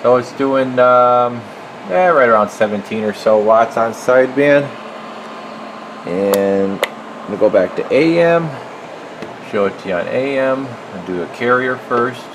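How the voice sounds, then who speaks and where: A man's voice: medium pitch, a little slow. A man giving a casual, folksy hands-on demonstration as a repair technician, talking informally while he works in an indoor workshop, recorded on a handheld camera. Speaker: radio repair technician presenting his work. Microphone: handheld camera microphone. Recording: steady loud equipment hum and noise.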